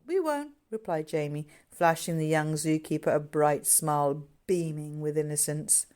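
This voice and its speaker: A woman with a fairly low voice narrates a novel. She reads from a script in audiobook style, as the author telling her own story. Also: little pitch movement, moderate pace, a little mellow.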